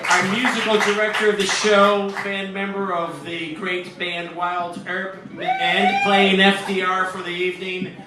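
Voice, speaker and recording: A man's voice: high voice, expressive, measured pace, few pauses, a loud crowd. A man hosting a live stage show, introducing the cast in an informal, rambling way. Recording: very echoey noisy hall, distant mic.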